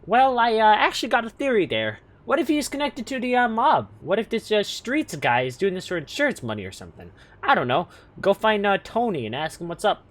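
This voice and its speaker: A man playing an exasperated but fatherly police chief in a comedic audio drama, speaking in a halting, folksy way. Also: high voice, huge pitch swings, a bit bright, exaggerated voice. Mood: folksy, awkward. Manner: halting, hesitant, folksy phrasing.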